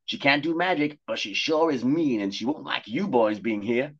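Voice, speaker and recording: A man voicing a cheeky, urgent male talking cat in a high squeaky put-on voice. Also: moderate pace, fairly bright tone, breathy edge. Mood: chatty. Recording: dull lo-fi audio.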